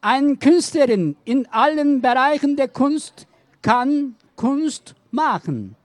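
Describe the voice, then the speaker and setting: A woman slowly reciting a poetic art manifesto in a declamatory, repetitive way. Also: sits high, lively intonation, a little mellow, dry recording.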